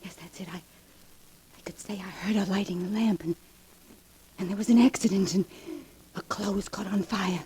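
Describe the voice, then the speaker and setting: An actress playing a desperate, scheming jealous killer in 1940s radio melodrama, stammering and whispering. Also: female voice, medium pitch, fairly even intonation, normal speed, long pauses, warm tone, natural voice. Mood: furtive, scheming. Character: desperate, scheming jealous woman who has just killed. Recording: noisy old 1940s radio recording.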